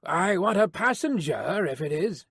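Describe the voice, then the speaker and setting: Man putting on a hoarse, blunt mounted messenger. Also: high voice, very animated, a little slow, a bit bright, no room sound, clean studio sound, heavily acted. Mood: urgent, blunt. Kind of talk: dramatised audiobook reading.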